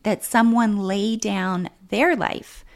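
A woman co-hosting a faith-based marriage podcast, speaking in a measured, unhurried way. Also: medium pitch, fairly even intonation. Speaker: podcast co-host.

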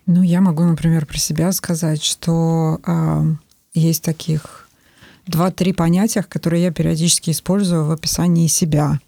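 A woman in her forties from Estonia, talking in a thoughtful, measured way with many pauses on a podcast. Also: deep voice, little pitch movement, creaky, dark tone, no room sound. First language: Russian. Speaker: podcast guest. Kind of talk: reflective podcast conversation.

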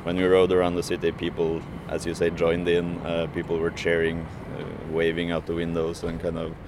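A man with a very low voice, answering slowly and hesitantly, stopping often on fillers and restarting his sentences. Clear traffic and street noise run behind him. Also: monotone. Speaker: striking food courier. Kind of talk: interview answers.

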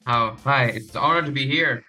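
Bright-voiced man. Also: medium pitch, a little fast, faint music behind, rough recording. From Taiwan. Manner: loose non-native phrasing with frequent "you know". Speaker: guest, marketing professional. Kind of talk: casual interview chat.

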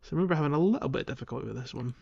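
A man's voice: fairly high pitch, expressive, very fast, creaky, a little mellow, husky, lo-fi sound. A man co-hosting a gaming podcast, talking in a loose, conversational way. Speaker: podcast co-host. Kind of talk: casual gaming podcast chat. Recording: music behind the voice. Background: instrumental music.

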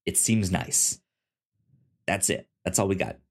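A man co-hosting a podcast, chatting casually about an audio drama in a halting, stammering way that often repeats the starts of words. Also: sits low. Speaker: podcast co-host.

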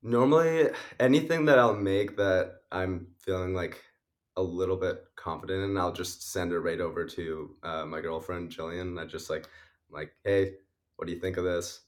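A man's voice: deep voice, steady tone, normal speed. A young man, a musician guest, answering interview questions casually, sniffly and rambling with many fillers. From Canada. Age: young adult. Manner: rambling, many likes and uhs, laughs often.

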